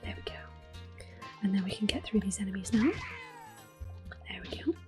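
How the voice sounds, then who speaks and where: A woman giving gentle, unhurried ASMR gaming commentary in a soft, breathy, often whispered voice, close to the microphone. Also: mid-range voice, soft background music. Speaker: gameplay host.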